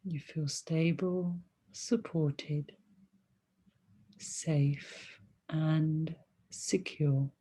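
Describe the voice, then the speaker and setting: A woman leading a guided meditation as a teacher, speaking softly and soothingly in short phrases with long pauses between them. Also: deep voice, fairly even intonation, slightly rough. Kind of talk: guided meditation, body scan.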